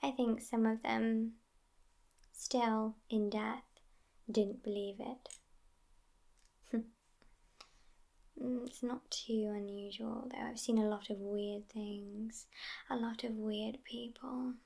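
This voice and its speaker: A woman playing a sassy, world-weary immortal vampire girlfriend in a whispered ASMR roleplay, soft, breathy and intimate. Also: fairly high pitch, flat delivery, slow pace, long pauses, heavily acted. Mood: sardonic, amused.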